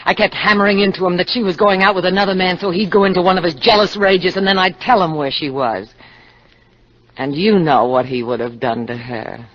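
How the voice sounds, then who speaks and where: An actress playing a cold, seductive, scheming murderess with intense melodrama in a 1950s radio drama. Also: female voice, on the low side, fairly quick, close to an everyday voice. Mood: scheming, bitter. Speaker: actor. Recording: dull old radio recording.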